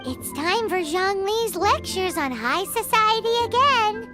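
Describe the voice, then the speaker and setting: A tiny, curious girl companion from a video game chirps in a piercingly high little voice. Her excitable, inquisitive delivery swoops up and down, with loud music playing behind. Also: a little slow. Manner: chirpy, inquisitive, refers to self in third person. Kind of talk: video game character dialogue.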